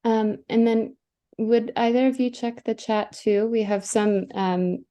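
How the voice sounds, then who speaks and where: A woman's voice: fairly high pitch, steady tone, measured pace, mellow. A woman moderating a live online workshop over a video call, politely asking the presenter questions.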